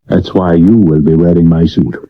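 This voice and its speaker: A man acting an imperious, self-assured Victorian gentleman detective in a 1970s radio drama, English-accented, pompous and unruffled. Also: low voice, fairly even intonation, moderate pace, close to an everyday voice. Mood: commanding. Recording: dull lo-fi vintage radio recording.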